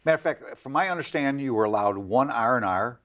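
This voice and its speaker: Fast-talking older man, polite veteran. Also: medium pitch, expressive, a bit bright. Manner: polite, answers briefly with "yes, sir". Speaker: veteran guest. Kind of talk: interview, recalling his Army service.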